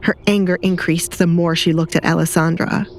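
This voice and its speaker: A woman's voice: fairly low pitch, fairly even intonation, moderate pace. A woman reading scripted dramatic fiction as an audiobook narrator, with light noise behind her.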